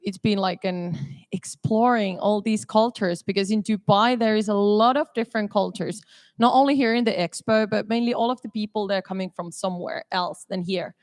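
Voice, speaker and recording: A woman's voice: mid-range voice, normal speed, dry recording. A woman in her twenties from Finland, a student panelist speaking casually with fillers. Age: 20s. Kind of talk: panel discussion.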